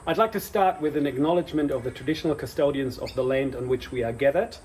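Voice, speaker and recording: A man's voice: mid-range voice, quick pace, slight background noise. A man, director of an institute, giving a formal ceremonial welcome and introducing a guest. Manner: warm ceremonial welcome, some fillers.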